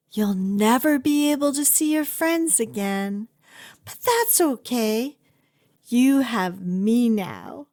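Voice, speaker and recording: A woman's voice: on the high side, exaggerated voice. A woman playing an obsessive, lovestruck kidnapper in an ASMR roleplay, sweetly chatty and possessive, rambling with hesitant asides to the listener.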